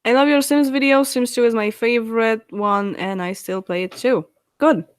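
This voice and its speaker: A Polish woman in her twenties chatting on a live stream, answering viewers' questions with frequent laughs and halting fillers. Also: fairly high pitch, fairly even intonation, no echo, clean studio sound. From Poland. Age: 20s. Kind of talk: casual live stream chat.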